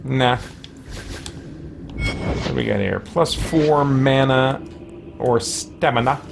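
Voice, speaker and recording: A man's voice: medium pitch, very slow, gravelly. A man giving casual gameplay commentary, chattily thinking aloud as he plays. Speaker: let's play host. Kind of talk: casual gameplay commentary with muttered self-talk. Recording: noisy, game sound and music behind voice. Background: video game sound and music.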